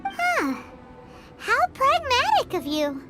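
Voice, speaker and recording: Tiny cheeky game sidekick: piercingly high, squeaky child voice, very animated, music behind. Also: female voice, takes it slowly, heavily acted. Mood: flattering. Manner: refers to herself in the third person, exclamatory, theatrical. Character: tiny chatty childlike floating companion, female, excitable and cheeky. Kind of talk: video game character dialogue.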